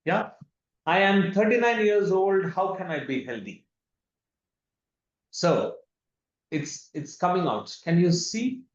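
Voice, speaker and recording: A man's voice: sits high, lively intonation, slow, pauses often. A man in his thirties from India, presenting a live online demonstration with enthusiasm and frequent repeated words over a video call. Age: 30s. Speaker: webinar presenter. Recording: echoey room, dull lo-fi sound.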